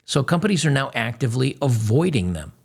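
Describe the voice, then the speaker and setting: A man's voice: medium pitch, very animated, fairly quick, vocal fry, darkish tone, husky. A man hosting, giving blunt, mocking commentary on video clips. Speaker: host.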